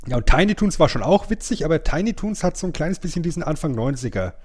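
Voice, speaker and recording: A man's voice: on the high side, big pitch swings, fast. A middle-aged man chatting casually as a podcast co-host. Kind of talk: casual chat, ranking old cartoons.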